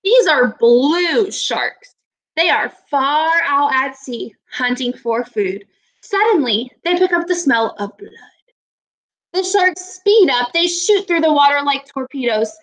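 A woman in her twenties, a teacher giving a read-aloud lesson to young children in a deliberate, instructive way. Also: high voice, expressive, fairly bright tone, low-quality recording. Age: 20s. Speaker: elementary school teacher. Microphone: laptop webcam at arm's length.

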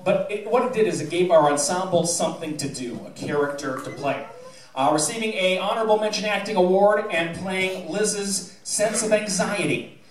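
A middle-aged American man giving an informal award speech to an audience, folksy and wry, in the manner of a school theatre director. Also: high voice. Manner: folksy, wry, teasing delivery. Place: school theatre stage, indoors. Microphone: handheld mic on PA, captured by a distant camera. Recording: echoey auditorium recorded from far off, audience noise. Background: audience murmur and laughter.

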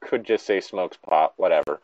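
A man's voice: sits low, moderate pace, a little mellow, no room sound, dull audio. A man casually reading a story aloud and breaking off with exasperated, incredulous, sweary commentary.

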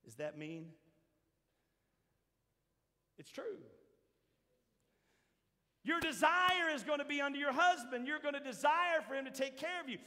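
An older man preaching a sermon as pastor to his church, shifting from quiet asides to shouted points. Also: very high-pitched, sing-song, measured pace, pauses often. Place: large church hall with a seated congregation. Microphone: handheld mic, roaming the stage. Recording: long hall reverb.

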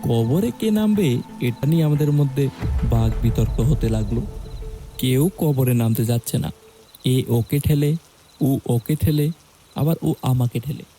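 Young male narrator for a spooky cartoon, very dark, mellow tone, steady and measured. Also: medium pitch, faint music behind. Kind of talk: scripted horror story narration.

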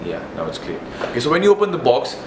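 A man's voice: mid-range voice, wildly swooping pitch, fast. Echoey young Indian man reviewing gear. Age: 20s. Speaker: motovlogger reviewing gadgets. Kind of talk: casual product review to camera. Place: indoors in a living room at home. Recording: roomy echo with clear background noise.

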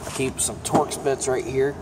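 A young man of middle pitch, chatting easily about his gear, with frequent ums and uhs. He films on a handheld phone inside a repair shop, and a steady hum of air conditioning and passing vehicles sits clearly behind him. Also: normal speed. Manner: relaxed, plainspoken, many ums and uhs. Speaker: mechanic giving a toolbox tour. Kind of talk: casual show-and-tell vlog. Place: auto repair shop, indoors. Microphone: phone held in hand, filming first-person.

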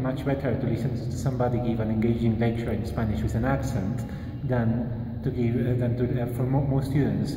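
A man's voice: mid-range voice, dark tone. A man in his thirties from Peru, answering interview questions about a math school he started, thoughtfully and with many restarts, filmed at arm's length in a large empty classroom. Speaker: mathematician, school organizer. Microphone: phone camera at arm's length. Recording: roomy hall reverb, faint hiss.

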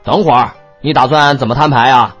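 A performer voicing a brash, excitable young man, a blunt and boastful best friend. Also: medium pitch, brisk, muffled recording, soft background music, lightly acted. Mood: incredulous, mocking. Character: brash, excitable, foul-mouthed young male buddy.

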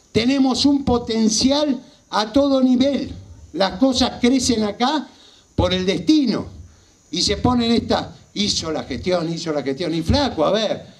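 High-pitched Argentine man giving a political speech. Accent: Rioplatense Argentine Spanish. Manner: emphatic, repeats phrases for effect. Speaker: local party politician. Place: small outdoor rally stage at night. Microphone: handheld microphone.

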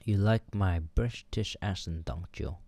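A man's voice: low voice, a little slow, warm tone, dry recording. A man streaming a dating game from his home room on a headset mic, mumbling and joking as he reads out choices. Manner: mumbling, thinking aloud, joking. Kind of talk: let's play commentary.

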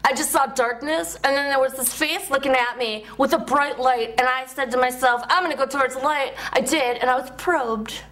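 Woman acting a high-pitched, giddy alien enthusiast. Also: fairly bright tone, low-quality recording. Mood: giddy, earnest. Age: young adult. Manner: giddy, earnest, halting. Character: nerdy, excitable female UFO enthusiast claiming alien abductions. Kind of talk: comedy character sketch.

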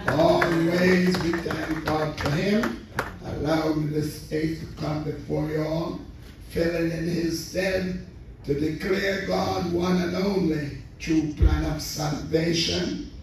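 A man's voice: a little high, steady tone, slow pace. A man, an assistant pastor, preaching a sermon with fervent repeated phrases and call-and-response. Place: large church sanctuary. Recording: roomy distant church recording with faint noise.

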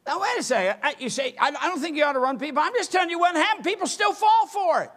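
A man's voice: very high-pitched, animated, fast talker, fairly bright tone. An older man preaching a sermon from a church pulpit, speaking emphatically and gesturing as he goes. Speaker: pastor. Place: church sanctuary with empty pews.

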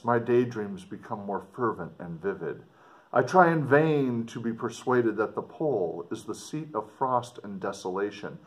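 Middle-aged male teacher for classic-novel read-aloud lesson; lowish voice, expressive delivery, informal commentary. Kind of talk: reading a novel aloud to students with casual commentary. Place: indoors in front of a dark board.

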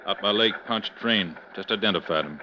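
An actor playing a businesslike police inspector in a 1950s radio crime drama, terse and matter-of-fact. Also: male voice, on the low side, fairly quick, lightly acted. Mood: grimly businesslike. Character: businesslike male police inspector reporting on a murder. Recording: muffled, noisy old radio recording.

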